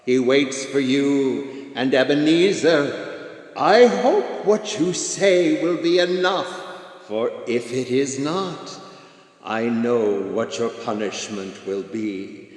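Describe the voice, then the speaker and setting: A man's voice: medium pitch, animated. A man in a radio play voicing a grave, fading Christmas spirit who gives solemn, portentous warnings, with reverb on the voice. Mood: ominous warning. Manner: solemn, portentous, slow formal delivery. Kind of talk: theatrical radio play acting. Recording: echoing hall-like reverb.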